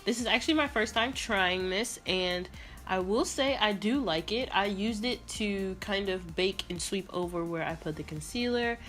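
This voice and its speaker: Raspy-voiced young woman, bright tone. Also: mid-range voice, moderate pace, faint music behind. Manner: chatty, rambling, relaxed. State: sore throat. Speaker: beauty vlogger. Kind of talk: casual makeup tutorial.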